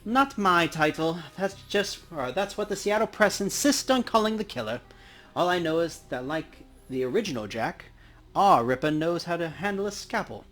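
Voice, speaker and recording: A man's voice: fairly high pitch, lively intonation, moderate pace, a bit bright. A man voicing a kindly, ghoulishly cheerful dwarf coroner with a wry, conversational manner for a game playthrough. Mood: intrigued, wry. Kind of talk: character reading in a let's play. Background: game music.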